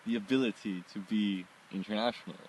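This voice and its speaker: An Austrian man who grew up in several countries, answering personal questions reflectively in short phrases with pauses. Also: fairly low pitch, fairly even intonation, a little hiss or hum, low-quality recording. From born in Oman, Austrian, grew up in several countries. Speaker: interviewee.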